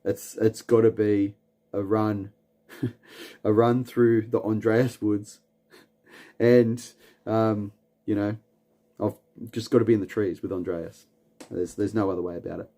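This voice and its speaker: A man with an Australian accent, hosting a late-night livestream and reading chat comments aloud. He rambles in a relaxed way, with lots of "um"s and repeated words, and chuckles often. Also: on the low side, a little slow, long pauses. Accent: Australian, from Newcastle. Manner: chuckles often between lines. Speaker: livestream host.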